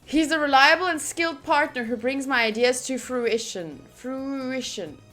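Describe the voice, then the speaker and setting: A woman streamer reading a visual novel's narration aloud during a playthrough, with expressive, gushing asides. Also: high voice, a little slow, faint music behind. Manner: expressive, gushing asides between read passages.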